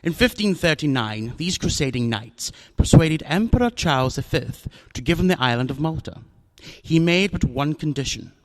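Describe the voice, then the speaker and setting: An actor playing a fat, genteel, scheming older villain with a florid, unctuous manner in a radio drama. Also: male voice, a little high.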